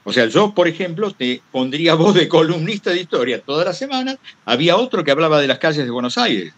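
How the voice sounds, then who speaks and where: A man's voice: on the high side, big pitch swings, a little fast. An Argentine man giving an informal teaching talk as a veteran broadcaster, rambling through anecdotes and repeating phrases for emphasis. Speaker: workshop guest speaker, veteran radio broadcaster.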